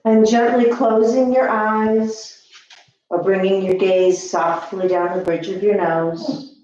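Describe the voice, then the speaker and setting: A woman teaching yoga, guiding breath and posture gently and soothingly with long pauses. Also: mid-range voice, fairly even intonation. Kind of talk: guided yoga and meditation instruction. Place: echoey indoor room. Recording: echoey room, slightly dull sound.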